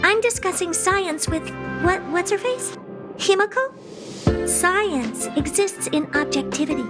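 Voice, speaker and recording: High, haughty little genius scientist. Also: female voice, unhurried. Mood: dismissive. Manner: condescending, smug, blunt, bored drawl turning to keen curiosity. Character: haughty, doll-like female genius scientist who owns a space station. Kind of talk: video game cutscene acting. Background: music.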